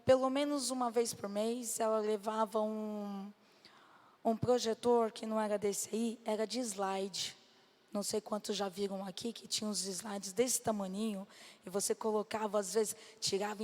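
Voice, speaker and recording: A woman with a Brazilian Portuguese accent and a slightly hoarse voice, giving a personal testimony to a church congregation as a guest missionary. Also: on the high side, darkish tone. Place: church hall. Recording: reverberant hall sound through PA.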